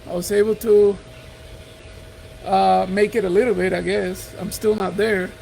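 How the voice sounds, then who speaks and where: Middle-aged Puerto Rican man with an accent, high gravelly voice, earnest encouraging investor vlog, faint hum behind. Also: flat delivery, a little slow, mellow, poor audio. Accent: Puerto Rican Spanish. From Puerto Rico. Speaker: real estate investor. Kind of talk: motivational talk to camera. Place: house.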